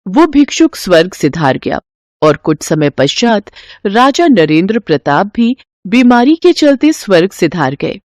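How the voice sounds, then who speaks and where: Animated woman storyteller. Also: mid-range voice, measured pace, no echo. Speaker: narrator. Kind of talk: scripted children's story narration.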